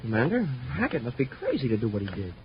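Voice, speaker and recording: An actor playing an excitable, loyal young space cadet in a 1950s radio serial, on an old recording. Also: male voice, medium pitch, fast talker. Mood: earnest, puzzled. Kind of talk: 1950s radio drama acting. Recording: muffled, noisy vintage radio recording.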